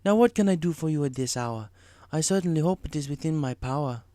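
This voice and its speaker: A man voicing a wise female zebra mystic who speaks gravely in formal, inverted phrases. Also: fairly high pitch, animated, fast, dark tone, no echo, voice pushed up, natural voice. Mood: welcoming.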